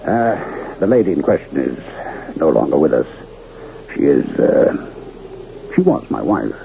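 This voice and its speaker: A man's voice: rumbling low, very animated, takes it slowly, gravelly, dark tone, exaggerated voice. Florid, genial old carnival showman. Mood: wistful, gentle. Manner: theatrical, florid, courtly diction. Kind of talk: old-time radio drama acting. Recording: muffled, noisy vintage radio broadcast.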